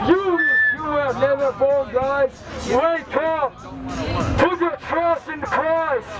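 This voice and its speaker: High-pitched man preaching over a loud crowd. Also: poor audio. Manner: declamatory short exhortations, non-native phrasing. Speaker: street preacher. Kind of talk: fiery street preaching. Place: outdoors on a busy city sidewalk at night. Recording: loud crowd and traffic on city street.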